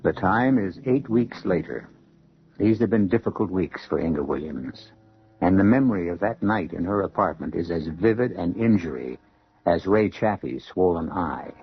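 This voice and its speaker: A man hosting a radio drama with scripted, measured, ominous and rhetorical narration. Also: deep voice, expressive, breathy edge. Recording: dull vintage radio broadcast. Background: faint music.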